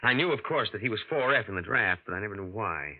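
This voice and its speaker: An actor playing a tense young man posing as an heir in a 1940s radio drama, in a low, guarded, clipped voice, on a dull old broadcast recording. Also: lively intonation, fast talker.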